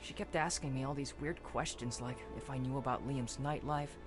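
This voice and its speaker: A man's voice: mid-range voice, fairly even intonation, moderate pace, pitched above the performer's own voice, lightly acted. A man voicing a timid, hesitant young male visual novel character. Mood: uneasy. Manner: hesitant, stammering. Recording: music playing behind the voice.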